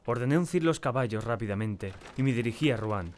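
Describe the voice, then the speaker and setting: A man acting a tormented, terrified diarist in a dramatic first-person audiobook narration, with a solemn and deliberate, theatrical delivery. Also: medium pitch, lively intonation, a little mellow, slight background noise, close to an everyday voice. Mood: uneasy, brooding. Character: tormented, terrified man confiding in his diary.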